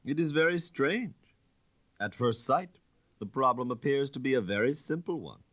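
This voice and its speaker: A man voicing a suave, self-assured gentleman detective in an audiobook story, calm, precise and dogmatic. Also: mid-range voice, normal speed, no room sound, pitched above the performer's own voice. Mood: modest, musing.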